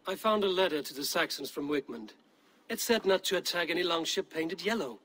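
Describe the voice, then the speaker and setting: An actor playing a stern Viking warrior in a video game, questioning people in a measured, grave way. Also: male voice, on the high side, animated, a bit bright. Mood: intent, questioning. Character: stern probing Viking warrior investigating a betrayal. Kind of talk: video game cutscene dialogue.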